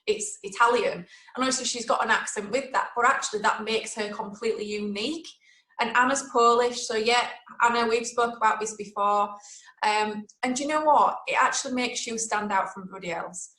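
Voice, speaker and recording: A woman's voice: a little high, fairly bright tone, roomy sound, rough recording. A woman with a Yorkshire accent hosting a webinar, talking in a chatty, direct and motivational way. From Yorkshire, England. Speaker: webinar host.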